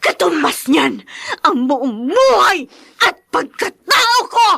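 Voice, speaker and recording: High, sharp-voiced wounded daughter. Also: female voice, big pitch swings, takes it slowly, vocal fry, exaggerated voice. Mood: tearful, anguished. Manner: emotional, pleading outbursts. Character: anguished young adult daughter of an alcoholic father, bitter and wounded. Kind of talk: melodramatic Filipino radio drama acting.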